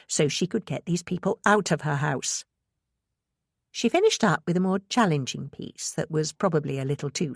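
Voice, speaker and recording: Woman, deep-ish voice, audiobook narration, suspense novel, dry studio-like sound. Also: gravelly, slightly rough, no room sound. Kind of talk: scripted suspense fiction narration.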